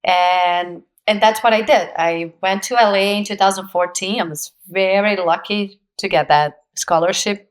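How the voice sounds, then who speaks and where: A woman in her forties from Brazil, speaking English with a Brazilian Portuguese accent as a guest in an interview, telling her story with lively, laughing energy. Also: medium pitch, moderate pace, few pauses. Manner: animated storyteller, laughs often, acts out quoted voices. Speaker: guest, actress. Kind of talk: casual interview conversation.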